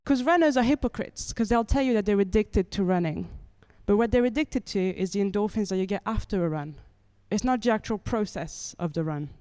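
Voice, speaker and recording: A woman's voice: mid-range voice, expressive, fairly quick, few pauses, dark tone, no room sound. A young woman from France performing stand-up comedy with a wry, chatty delivery that keeps checking in with the audience. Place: comedy club stage.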